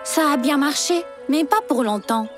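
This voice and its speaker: High, lively young girl. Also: normal speed. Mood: thoughtful, helpful. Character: caring, thoughtful young girl. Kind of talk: animated children's cartoon dub. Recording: loud music under the voice.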